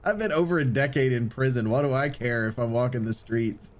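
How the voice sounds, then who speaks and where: A man's voice: medium pitch, fairly quick. A man co-hosting a podcast in casual, jokey banter, often sarcastically acting out imagined dialogue. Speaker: podcast co-host.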